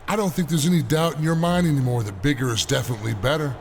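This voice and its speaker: A man's voice: medium pitch, fast talker, a little mellow, lightly acted. A man playing a cocky, dismissive big pro wrestler in a video game cutscene, with a smug, swaggering manner. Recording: noisy game cutscene audio.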